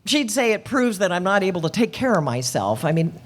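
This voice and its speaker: A woman's voice: mid-range voice, sing-song, brisk. A woman playing a chatty, motherly older landlady who is hesitant and easily flustered, in an old-time radio play. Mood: anxious, pleading. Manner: hesitant, fussy, exclaiming.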